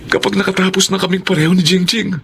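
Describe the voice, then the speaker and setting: A man playing an eager, respectful young student father in a Tagalog radio drama, boyish and a little halting. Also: high-pitched, little pitch movement, creaky, background noise. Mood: earnest, hopeful. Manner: eager, boyish, hesitant starts.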